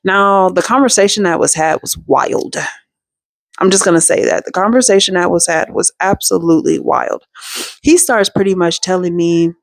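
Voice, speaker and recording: A woman hosting her own storytime show, telling a personal story in a chatty, slangy and animated way, full of asides to her viewers. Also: on the low side, moderate pace, dry recording. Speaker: storytime host.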